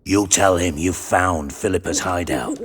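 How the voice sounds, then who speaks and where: An actor playing a cunning, theatrical spymaster in a game, with a measured, persuasive delivery. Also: male voice, low voice, fairly bright tone, slightly rough, full character voice. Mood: calculating. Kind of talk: cinematic game voice acting.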